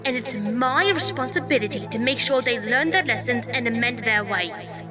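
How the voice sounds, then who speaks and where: A grumbling unicorn spirit played by a woman in an audio story. The high voice rants theatrically and with contempt, with music clearly behind it. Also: lively intonation, moderate pace, close to an everyday voice. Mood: exasperated. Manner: ranting, theatrical. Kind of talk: dramatized fan fiction reading.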